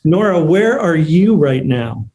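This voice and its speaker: A man with a slightly high voice hosts an online ceremony. He speaks off the cuff, with a halting, hesitant flow as he makes introductions. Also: very animated, mellow, breathy edge. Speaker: host of an online award ceremony. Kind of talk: unscripted event hosting. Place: remote video call.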